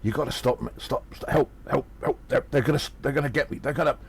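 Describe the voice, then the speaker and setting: A man's voice: medium pitch, fast talker, gravelly, slight background noise, pitched above the performer's own voice, close to an everyday voice. A man voicing a panicked henchman in an audio drama, stammering and begging for help. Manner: stammering, pleading.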